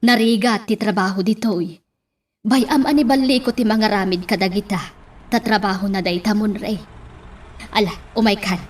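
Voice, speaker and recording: Insistent village mother, female actor, medium pitch, nagging radio-drama delivery, a touch distant. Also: steady tone, moderate pace, close to an everyday voice. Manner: insistent, questioning. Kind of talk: Ilocano radio drama acting. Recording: distant microphone with faint background noise.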